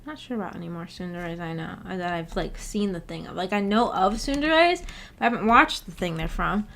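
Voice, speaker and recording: A woman's voice: on the low side, a little fast, gravelly, a bit bright, slight background noise. Woman casually chatting on a livestream. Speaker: streamer, book club host. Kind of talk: casual livestream chat, reading and answering viewer comments. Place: indoor home streaming setup.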